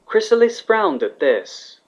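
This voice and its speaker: Male narrator, lighter high-ish pitch, scripted storytelling, dulled home recording. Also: very animated, takes it slowly, highs cut off.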